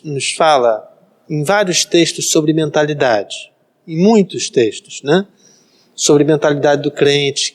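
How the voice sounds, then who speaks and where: A Brazilian man in his thirties, a pastor giving a practical sermon and repeating phrases for emphasis. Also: a little high. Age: 30s. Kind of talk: sermon, practical life advice.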